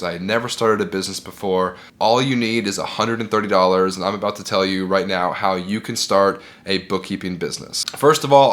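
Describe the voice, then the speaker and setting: A man's voice: lowish voice, fairly bright tone. A man who is sick and congested, giving earnest, encouraging business advice straight to camera and repeating his key points. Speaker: bookkeeping business owner and video host.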